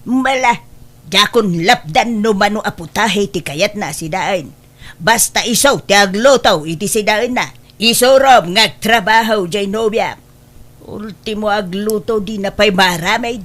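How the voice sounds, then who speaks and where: A woman's voice: medium pitch, measured pace, fairly bright tone. A woman with an Ilocano Filipino accent playing a long-suffering, complaining older mother-in-law in a comedy drama.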